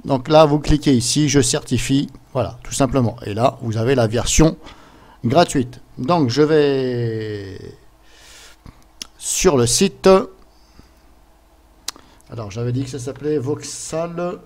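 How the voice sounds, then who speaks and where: A man presenting a casual screen-capture software tutorial, explaining things step by step in an informal, chatty way. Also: mid-range voice, slow, pauses often. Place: voice-over recorded at a computer during screen capture.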